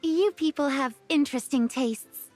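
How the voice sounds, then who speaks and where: A woman's voice: sits high, a little slow, darkish tone. A woman playing a cheerful young tribeswoman in a game, talking in a friendly, casual way. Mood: curious, amused. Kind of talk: game character dialogue. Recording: music behind the voice.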